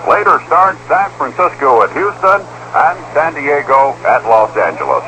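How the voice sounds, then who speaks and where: Bright-voiced American male radio sports newsreader. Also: medium pitch, very animated, moderate pace. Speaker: sports news announcer. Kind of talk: scripted radio sports news bulletin. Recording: noisy 1970s radio broadcast.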